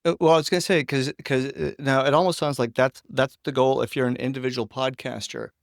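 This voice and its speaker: A man's voice: medium pitch, steady tone, a little fast, creaky, no room sound, studio quality. A man hosting a casual interview, feeling his way conversationally into his questions. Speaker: interviewer, host.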